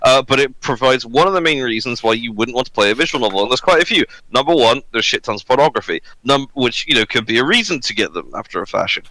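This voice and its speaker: Bright, forceful man chatting on a podcast. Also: medium pitch, lively intonation, quick pace, few pauses, no room sound, poor audio. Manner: talkative, emphatic, sweary. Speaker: podcast panelist explaining the subject. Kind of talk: casual panel chat, explanatory.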